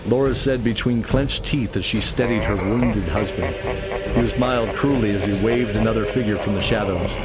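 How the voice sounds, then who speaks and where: A man narrating a scripted fantasy radio play in an earnest, dramatic storytelling style. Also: on the low side.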